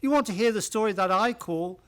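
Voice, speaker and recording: A man playing a genial, chatty older gentleman storyteller in an old-time radio play, with courteous old-fashioned manners. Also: sits high, brisk. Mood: genial, reminiscing.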